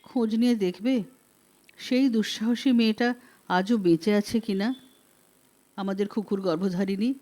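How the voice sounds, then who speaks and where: A woman voicing a grave, devoted woman in an audiobook, speaking softly and persuasively to an old friend. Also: medium pitch, unhurried, pauses often, faint music behind, close to an everyday voice. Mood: pleading, resolute. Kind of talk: audiobook character reading.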